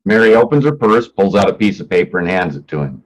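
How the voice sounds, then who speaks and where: A man's voice: sits low, expressive, quick pace, rough recording. A man narrating stage directions for a play, reading from a script in the present tense.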